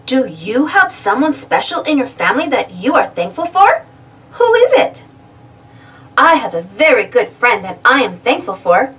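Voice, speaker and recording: A woman's voice: fairly high pitch, sing-song, normal speed, a bit bright, recorded from a distance. A woman reading a storybook aloud to young children, expressively and addressing the listeners directly. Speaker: storybook reader.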